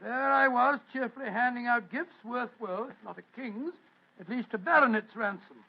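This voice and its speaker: A man's voice: very high-pitched, moderate pace, creaky, full character voice. A man playing Doctor Watson, a jovial older doctor, in a vintage radio drama. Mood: narrating. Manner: jovial, hearty, chuckling. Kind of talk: 1940s radio acting. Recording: dull old radio recording.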